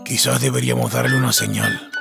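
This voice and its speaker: A man voicing a grumpy, nervous forest animal in a cartoon, gruff and anxious in manner. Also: mid-range voice, level tone, brisk, over loud music. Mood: bossy. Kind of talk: cartoon voice acting.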